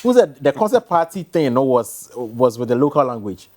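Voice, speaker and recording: A man's voice: medium pitch, very animated, moderate pace, a little mellow. A man with a Ghanaian accent speaking as an interview guest, explaining point by point and dropping in Twi words. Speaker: stage play producer, interview guest.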